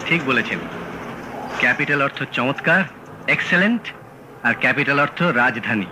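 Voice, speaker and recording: An actor playing a calm, self-assured detective in an old radio play. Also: male voice, medium pitch, normal speed, noisy background, natural voice. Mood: composed. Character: calm sharp male detective, cool and clipped. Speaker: Feluda. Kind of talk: 1970s radio drama acting.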